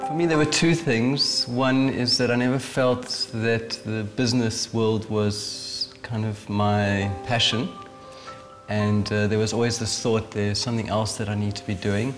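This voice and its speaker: A man talks through his path into healing work in a measured, low voice that barely moves in pitch. He pauses often with fillers. Music plays under him throughout. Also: steady tone. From South Africa. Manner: hesitant, with many fillers. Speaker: interviewee, therapist. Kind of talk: reflective interview.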